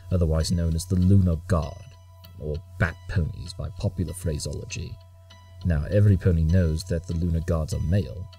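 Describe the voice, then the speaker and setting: A man's voice: sits low, mellow, breathy edge. An actor playing a brilliant, imperious detective in an audio drama, condescending and impatient as he lays out deductions. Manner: clipped deductive monologues, condescending.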